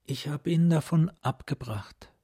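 Scheming gentleman, mellow husky voice, dry close sound, courteous, persuasive, radio drama. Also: a little high, measured pace, no room sound. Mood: commanding, persuasive. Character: smooth, scheming middle-aged gentleman, flattering and commanding. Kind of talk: German literary radio play acting.

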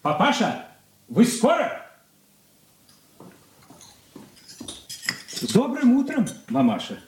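An actor playing a petty, self-important son-in-law in a radio drama, fussy, pompous and querulous. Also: male voice, very high-pitched, wildly swooping pitch, measured pace, a little hiss or hum, heavily acted. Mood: fussy, peevish. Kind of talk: Soviet radio drama stage acting. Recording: echoey studio, distant from mic.

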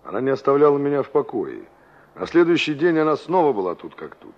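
An actor playing an impatient, hot-headed young carpenter, brusque and defensive, in a Soviet radio drama. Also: male voice, medium pitch, wildly swooping pitch, quick pace. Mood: exasperated. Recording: muffled 1960s radio play recording.

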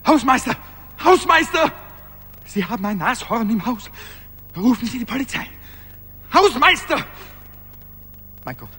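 A man's voice: very high-pitched, very animated, unhurried, long pauses, pushed and bright, breathy edge, heavily acted. An actor playing an anxious, bewildered, panicky everyman in a radio play, agitated and stammering. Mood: alarmed, bewildered. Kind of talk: 1980s German radio play acting.

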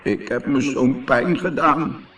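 A man's voice: mid-range voice, expressive, slow, a bit bright, recorded from a distance, pitched above the performer's own voice. A man voicing a battered, lost space rocket with a plaintive manner, in a children's storybook. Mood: plaintive, pained. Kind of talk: children's audiobook character voice.

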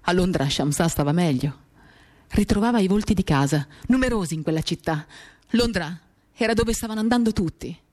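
A woman giving a measured, expressive dramatic reading of a first-person novel, pausing as she tells it. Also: lowish voice. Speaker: reader of a literary work. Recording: acoustic guitar music behind the voice. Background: acoustic guitar accompaniment.

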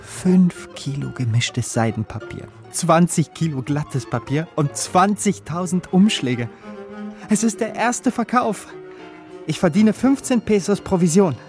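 A man's voice: on the high side, expressive, measured pace, a little mellow, soft background music. An actor playing a desperate, brooding poor young man, telling his life in an intense, literary audio drama style. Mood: driven, hopeful. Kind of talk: first-person audio drama narration and dialogue.

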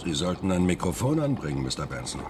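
A man's voice: deep voice, big pitch swings, fast talker, background noise. An actor playing a persuasive, defiant and theatrical man in a radio drama, speaking with insistent rhetoric. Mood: urgent, persuasive.

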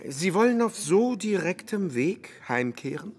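An actor playing a garrulous, pedantic scholar in a radio play, florid and erudite, given to amused society gossip with chuckles and ums. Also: male voice, sits high, sing-song, measured pace, a little mellow, heavily acted. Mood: courteous.

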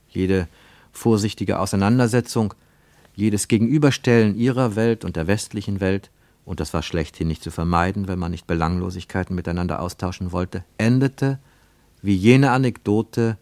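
A man reading scripted literary narration for radio, with measured, rhetorical and emphatic phrasing. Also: lowish voice, no room sound.

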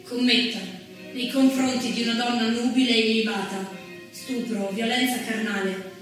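Stern female stage narrator, played by a woman, slightly high voice, formal measured declamation, distant from the mic. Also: level tone, natural voice. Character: stern female narrator reciting laws and court rulings. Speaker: stage actress. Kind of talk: dramatic scripted theatrical narration. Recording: very echoey, distant, faint music behind. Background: faint music.

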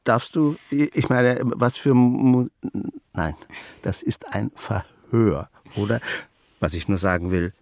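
A man's voice: medium pitch, takes it slowly, a little mellow, no echo. An actor playing an older grandfather in a radio drama, wry and hesitant, set in his ways and given to dry questions. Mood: curious, ironic. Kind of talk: naturalistic German radio drama dialogue.

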